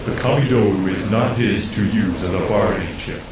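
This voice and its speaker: A solemn spirit guide, voiced by a man for a video game. His low voice commands with grave formality. It echoes heavily and sounds distant, with clear noise around it. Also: brisk, lightly acted. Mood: imperious. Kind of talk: video game cutscene acting.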